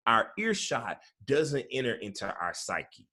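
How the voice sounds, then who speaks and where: A man's voice: mid-range voice, very animated, unhurried, sharp tone, breathy edge. A man as a guest commentator, talking earnestly in a casual discussion, often restarting his phrases. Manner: repetitive restarts, earnest, rallying.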